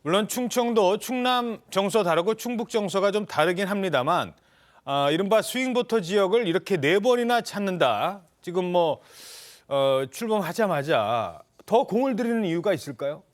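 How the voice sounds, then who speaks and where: A man anchoring a Korean TV news talk show, reading introductions and moderating a panel in a formal, measured way. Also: high-pitched, expressive.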